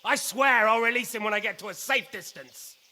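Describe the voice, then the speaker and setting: Piercing, sardonic villain lord. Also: male voice, high voice, brisk, sharp tone. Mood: defiant, wary. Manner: sardonic, taunting. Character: cunning, defiant villainous lord, mocking and wary. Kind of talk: video game cutscene acting, medieval drama.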